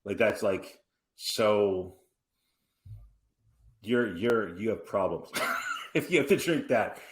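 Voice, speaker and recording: A podcast host in his forties with a fairly low voice talks in a loose, rambling way, circling back and restarting his sentences as he tells his stories. The room around him rings with a noticeable echo. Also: slow. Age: 40s. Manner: rambling, animated storytelling with restarts and asides. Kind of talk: casual conversational interview. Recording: roomy echoey room.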